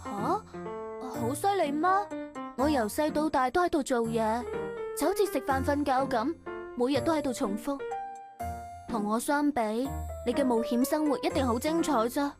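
A woman's voice: high voice, moderate pace, lightly acted. A woman voicing a naive, curious young working girl in a visual novel, earnest and easily startled, with a Hong Kong Cantonese accent. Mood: earnest, surprised. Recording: game voice track over music.

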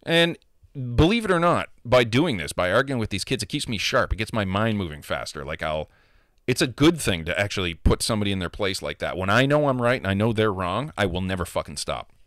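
A man in his thirties with a deep voice, ranting casually and profanely into a handheld mic held close, full of opinions. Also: very animated, brisk, fairly bright tone, dry recording. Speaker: gamer vlogger. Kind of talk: casual, ranting monologue to camera. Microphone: handheld microphone held close to the mouth.

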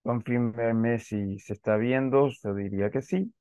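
A man in his forties from Argentina with an Argentine Spanish accent, giving a measured presentation on a video call with frequent fillers. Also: mid-range voice, dark tone, clean tone, no room sound. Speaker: invited speaker, lawyer. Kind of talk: presentation at an online panel. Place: home office with bookshelves. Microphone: headset on a video call. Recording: slightly dull, dry video call audio.

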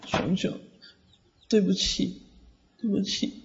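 A sad, timid ghost boy voiced by a man speaks softly and hesitantly in a high voice with creak. It is radio-play acting on a dull recording. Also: steady tone, slow pace, heavily acted. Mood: sad, confessing. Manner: soft, hesitant. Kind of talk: Chinese audio drama acting. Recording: muffled lo-fi, faint noise, clicks.

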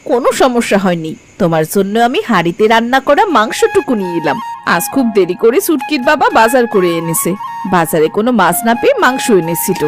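A woman's voice: mid-range voice, lightly acted. A woman voicing a caring, mildly scolding village housewife and mother in a cartoon. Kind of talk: Bengali cartoon voice acting. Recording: music behind the voice.

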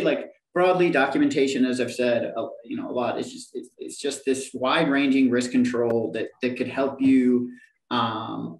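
A man speaking on a panel over a video call with a headset, quick and emphatic, often restarting his sentences. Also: medium pitch, reverberant, far from the mic, poor audio. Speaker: panelist, principal scientist. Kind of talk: panel discussion.

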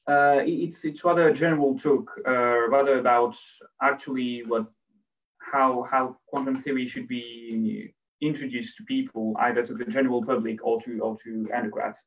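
A man's voice: mid-range voice, fairly even intonation, moderate pace. A man, a PhD student, giving an academic talk on a video call, often restarting his phrases. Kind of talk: academic presentation. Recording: distant, dull video call audio.

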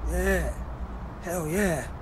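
An actor playing a boisterous American war-veteran mercenary captain in a video game, talking like a profane football coach. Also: male voice, high voice, very slow, noisy background. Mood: gruffly fired up. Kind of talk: video game cutscene acting.

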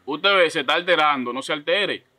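A man's voice: on the high side, a little fast, background noise. A man in his thirties with a Dominican accent, making an insistent, repetitive, confrontational prank phone call. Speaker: prank caller. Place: outdoors by a block wall.

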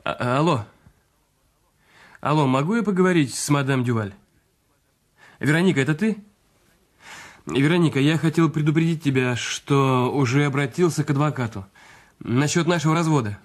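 A man acting in a radio drama as a troubled, bitter husband, with a halting, emotional delivery. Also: a little high, lightly acted. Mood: tense, determined.

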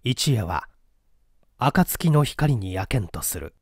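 A man reading a mystery story aloud as dramatic literary narration, with deliberate, theatrical phrasing. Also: medium pitch, sing-song, husky, dry recording, clean studio sound. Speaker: story reader.

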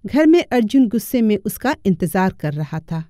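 A woman's voice: medium pitch, very animated, moderate pace, dark tone, no echo, clean studio sound. A woman giving scripted narration for a cartoon moral story.

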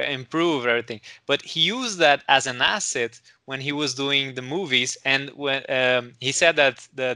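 A man's voice: medium pitch, pushed and bright, no echo. A man in his thirties with a Brazilian accent, hosting over a video call on a headset, talking hesitantly with many restarts. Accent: Brazilian Portuguese. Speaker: host. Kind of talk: casual interview chat.

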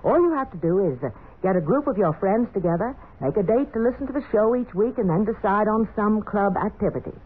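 A woman's voice: mid-range voice, expressive, brisk, husky. A woman hosting a 1940s children's radio show, addressing listeners in a scripted style. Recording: tinny, phone-like 1940s radio recording.

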